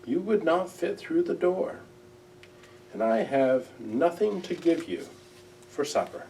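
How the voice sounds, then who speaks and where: Create a man acting out a gentle, simple-hearted owl from a children's storybook. He is mournful and earnest, drawing his words out with big swings in pitch. The sound is a little distant from the mic, with faint noise behind it. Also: expressive, creaky, exaggerated voice. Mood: earnest, kindly. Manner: emotive, drawn-out, earnest delivery.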